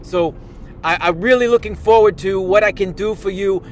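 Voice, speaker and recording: A man's voice: high voice, moderate pace, a little hiss or hum, close to an everyday voice. A man playing a pompous, self-important tabletop gaming expert who lectures in a rambling way, stumbling over restarts and repeating himself. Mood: smug. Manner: boastful and lecturing. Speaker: self-styled podcast expert. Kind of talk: parody monologue.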